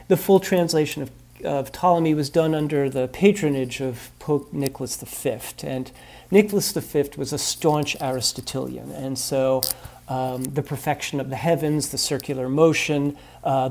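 A man's voice: on the high side, gravelly, a little mellow. A man speaking as an expert guest, explaining history in thoughtful interview answers full of ums and uhs.

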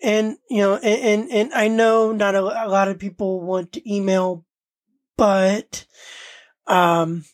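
A woman co-hosting a podcast in casual, unscripted talk, speaking hesitantly and often pausing mid-sentence. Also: medium pitch, fairly even intonation, no echo, studio quality. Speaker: podcast co-host.

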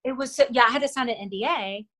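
A middle-aged woman from the Philippines whose first language is Tagalog, talking earnestly as an interview guest with fillers and soft laughs. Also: a little high, fast. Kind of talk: casual interview talk.

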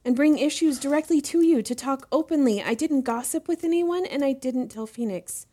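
A woman's voice: high-pitched, moderate pace, mellow, close to an everyday voice. A woman playing an anxious protegee eager to please in an audio drama, hesitant, earnest and apologetic.

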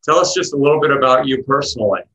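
A man's voice: medium pitch, animated, rapid-fire, bright, forceful tone, poor audio. A man hosting an interview podcast, introducing a guest and asking upbeat questions. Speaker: podcast host and lawyer.

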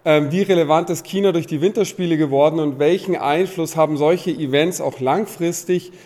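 A man's voice: a little high, moderate pace, darkish tone, big-room sound. A man from Munich asking a panel a question in a chatty, loose way and correcting himself. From Munich, Germany. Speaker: audience questioner. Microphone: handheld mic.

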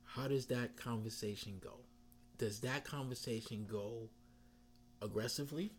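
A man's voice: medium pitch, measured pace. Man leading a casual discussion. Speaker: discussion host posing questions.

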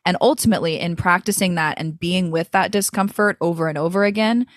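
A woman's voice: fairly low pitch, brisk, dry recording, studio quality. A woman voice coach speaking as a guest in an interview, talking in a thoughtful, flowing way with frequent "like" and "you know" fillers. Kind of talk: reflective interview talk.

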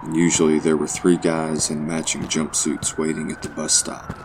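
A man's voice: a bass voice, monotone, moderate pace, creaky, background noise. A man narrating a scripted horror story in an audio play.

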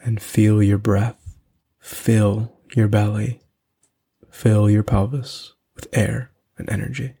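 A man hosting a podcast and leading a guided meditation in a soothing, unhurried way with long pauses. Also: lowish voice, little pitch movement, gravelly, warm tone, husky.

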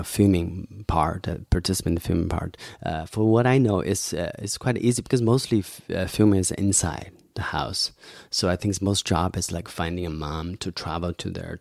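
A man as a podcast guest, speaking haltingly and searching for words. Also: deep voice, gravelly, no echo, clean studio sound. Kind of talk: casual interview talk. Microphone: podcast microphone.

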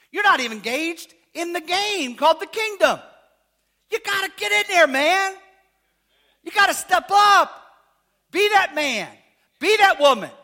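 Pastor, male, unusually high and bright voice, casual and joking, quizzes the congregation, bursts into shouts. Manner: animated, rising to shouts, asks the congregation questions. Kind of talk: sermon.

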